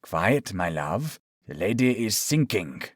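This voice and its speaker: Audiobook villain: menacing doctor, played by a male narrator, smug, coaxing, falsely tender, swooping pitch, dry close sound. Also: very animated, slow pace, husky, no echo, full character voice. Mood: calm menace. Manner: coaxing, mock-tender, threatening.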